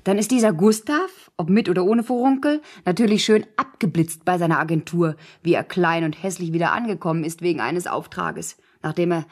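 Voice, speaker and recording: An actress playing a shrewd, sceptical woman in a German radio play, questioning a story in a dryly mocking, husky voice. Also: on the low side, brisk, hardly any pauses. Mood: dry scorn.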